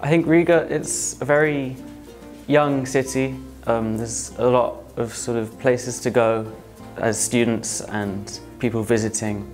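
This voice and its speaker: A young man from England with an English accent, a music student answering in a relaxed, easygoing way. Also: medium pitch, measured pace. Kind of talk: casual interview answers.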